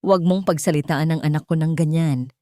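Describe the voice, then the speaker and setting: A woman's voice: low voice, fairly even intonation, a little fast, mellow, no room sound, clean studio sound, natural voice. A woman voicing a protective mother in a story, firm and indignant, trembling with anger. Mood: defiant. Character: frightened, fiercely protective poor mother, angry and defiant.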